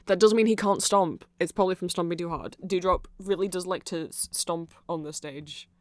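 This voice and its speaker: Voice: mid-range voice, moderate pace, no room sound. Dry, rambling close-up ASMR explainer. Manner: rambling, informal, full of asides. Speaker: ASMR audio creator.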